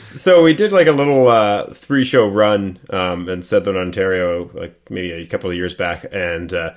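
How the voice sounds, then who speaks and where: A man's voice: low-pitched, moderate pace. Chatty young man telling a story. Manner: rambling storyteller, stumbles and restarts, laughs often. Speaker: podcast co-host. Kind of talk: casual chat. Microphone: podcast microphone.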